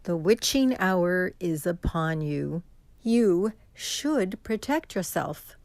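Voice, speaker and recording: Woman playing a slow-talking, superstitious waitress. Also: lowish voice, expressive, darkish tone, no room sound. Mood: earnest warning. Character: superstitious, earnest female diner waitress. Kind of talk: radio mystery drama acting.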